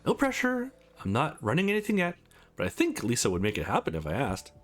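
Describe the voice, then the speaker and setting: A man's voice: medium pitch, wildly swooping pitch, fast, faint music behind. A man playing an easygoing, sincere male visual novel protagonist in a friendly, lightly teasing way. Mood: encouraging.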